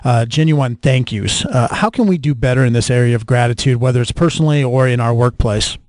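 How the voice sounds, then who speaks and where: A man's voice: mid-range voice, fairly quick, darkish tone, no echo. A man hosting an interview podcast in a conversational style.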